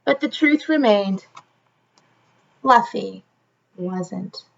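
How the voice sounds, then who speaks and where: A woman's voice: medium pitch, lively intonation, extremely slow, vocal fry, dull audio. A woman reading a children's story aloud expressively, like a teacher, adding chatty asides straight to her young listeners.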